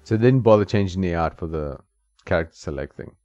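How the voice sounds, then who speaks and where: A man with a deep, low voice chats easily over a retro fighting game, laughing and talking back to the screen. His voice is dry and close with a slightly dull top end. Faint game music plays underneath. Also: lively intonation, quick pace, dark tone, no room sound. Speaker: gaming channel host. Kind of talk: casual gameplay commentary.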